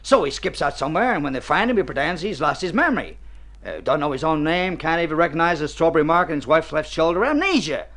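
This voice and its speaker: An actor playing a hearty, folksy small-town American druggist out on a spree, chuckling and backslapping in golden age radio drama style. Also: male voice, a little high, big pitch swings, fast, a bit bright. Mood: scornful, folksy. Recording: noisy vintage radio drama recording.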